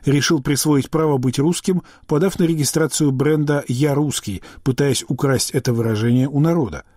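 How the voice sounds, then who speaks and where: A man's voice: a little high, moderate pace, mellow, dry recording, clean studio sound. A man reading a scathing post aloud, listing accusations.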